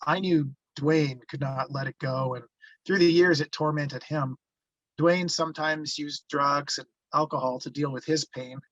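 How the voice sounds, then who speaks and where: A man reading a haunted, reflective first-person narrator looking back on his youth, halting in places, on slightly muffled audio. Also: a little high, fairly even intonation, a bit bright, no room sound. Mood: reflective, regretful. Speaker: actor reading the narrator at a table read.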